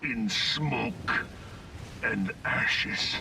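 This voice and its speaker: A man playing a proud, defeated villain in a fantasy game, grave, halting and fatalistic. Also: mid-range voice, gravelly, pushed and bright, full character voice. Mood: bitter resignation. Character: grim, defeated imperial conqueror, proud and fatalistic. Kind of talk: scripted fantasy game acting. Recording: distant, noisy battle ambience.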